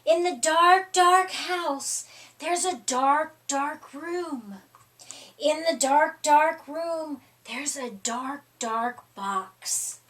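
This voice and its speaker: A woman voicing a lady cat schoolteacher telling a spooky storybook ghost tale in a hushed, suspenseful way. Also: high-pitched, recorded from a distance, exaggerated voice. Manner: slow, suspenseful, hushed, repetitive build-up.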